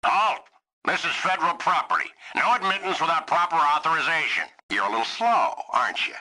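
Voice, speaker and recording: A man playing a gruff, irritable, bullying military man at a base in a video game, officious and clipped in manner. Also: a little high, animated, a little fast, sharp tone, rough recording. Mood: stern, suspicious. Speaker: military gate guard and sergeant. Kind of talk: dramatic video game voice acting.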